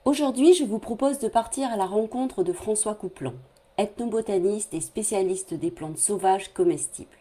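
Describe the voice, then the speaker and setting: A woman hosting a podcast, reading a measured, prepared introduction. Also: lowish voice, warm tone. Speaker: podcast host. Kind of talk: scripted introduction and interview questions.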